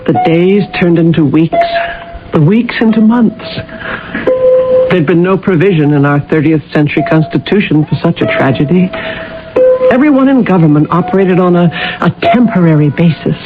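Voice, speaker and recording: A woman's voice: lowish voice, moderate pace, close to an everyday voice. An actress plays a statesman's composed wife, earnest and articulate in the dramatic manner of radio theater. The old recording is thin and phone-like, with music behind her. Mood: somber recollection. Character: president's composed, earnest wife, narrating and recalling events. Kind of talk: 1980 radio drama acting.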